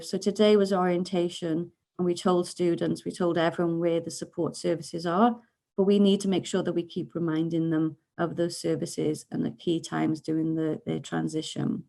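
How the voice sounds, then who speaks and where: A woman from Wales with a Welsh accent, giving a webinar presentation in an earnest, steady way over a video call. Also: on the low side, creaky.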